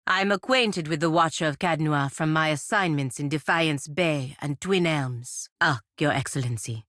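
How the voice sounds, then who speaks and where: Proud stern lady knight from a video game, low voice, formal and dry, really close clean studio sound. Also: moderate pace, fairly bright tone, no room sound. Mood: courteous, reserved. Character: proud, stern, dutiful woman knight and loyal envoy. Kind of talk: video game character dialogue.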